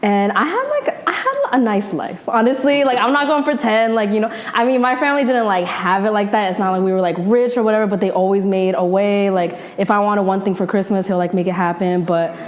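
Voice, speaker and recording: A young woman in her twenties with a New Jersey accent, a journalist talking about her upbringing in a relaxed, slangy interview, full of "like" and restarts. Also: mid-range voice, brisk, few pauses. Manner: relaxed slangy talk, many likes and restarts. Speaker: journalist and podcaster guest. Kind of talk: casual interview chat.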